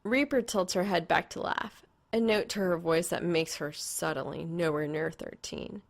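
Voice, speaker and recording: A woman's voice: on the low side, unhurried, gravelly. A woman reading a piece of fan fiction aloud as a scripted narration.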